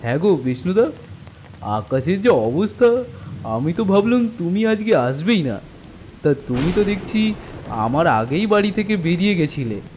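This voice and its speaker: A man's voice: high voice, sing-song, normal speed, long pauses, darkish tone, pitched above the performer's own voice, low-quality recording. A man voicing an eager, anxious village friend in a cartoon story, chatty and deferential. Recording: rain noise behind the voice. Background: falling rain.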